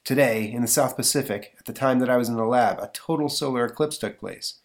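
A man voicing an earnest young male scientist in a comic book read-aloud, slightly raised pitch. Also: fast talker, lightly acted.

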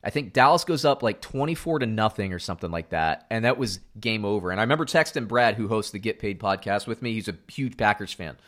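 A middle-aged man from Central Florida co-hosting a casual sports podcast, animated, going from loud outbursts to muttered asides. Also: on the low side, quick pace, few pauses. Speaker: podcast co-host.

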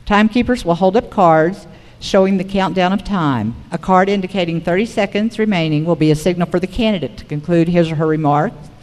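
A woman's voice: on the low side, a little mellow. A woman moderating a public forum, reading prepared material aloud to the room. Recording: reverberant hall recording.

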